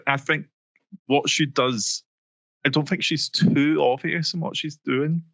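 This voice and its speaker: A man co-hosting a podcast, chatting casually and quickly with lots of ums and side remarks. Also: a little high, fairly even intonation, gravelly, fairly bright tone, no room sound, highs cut off. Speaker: podcast co-host. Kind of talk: casual chat about a reality show.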